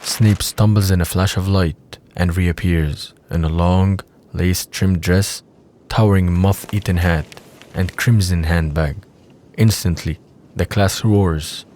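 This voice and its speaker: A man's voice: sits low, a little mellow, a little hiss or hum. A man reading scripted scene narration for an audio drama.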